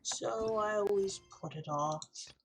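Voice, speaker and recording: A woman's voice: mid-range voice, slow, a little hiss or hum. A woman streaming a video game, chatting casually to her viewers in a rambling running commentary full of jokey asides. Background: faint game music.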